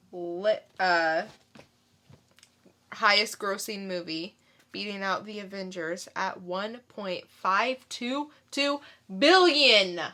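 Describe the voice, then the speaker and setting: Unhurried, bright-voiced woman co-hosting a podcast. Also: mid-range voice. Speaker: podcast co-host. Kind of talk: casual chat, reacting and adding comments.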